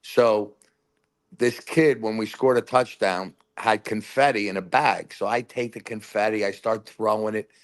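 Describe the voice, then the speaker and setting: A man calling in to a sports talk show, telling loud, rambling fan stories and giving his game predictions. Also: lowish voice, unhurried. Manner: rambling, animated storyteller. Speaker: caller. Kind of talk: casual phone-in chat.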